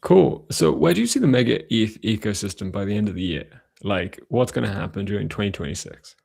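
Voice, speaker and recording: A man's voice: on the low side, lively intonation, fairly quick, creaky, a little mellow, slightly rough. A man hosting an interview, asking casual questions. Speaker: interview host.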